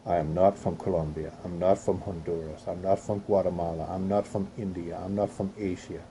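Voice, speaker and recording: A man from Europe reading news aloud in a halting way, stopping to add his own opinionated comments. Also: low-pitched, steady tone, dark tone, a little hiss or hum. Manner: halting reading with restarts and coughs.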